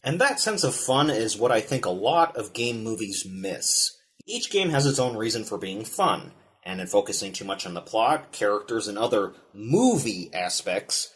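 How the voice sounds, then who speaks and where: A man's voice: mid-range voice, big pitch swings, normal speed. A man delivering scripted video-essay narration as a film reviewer and host.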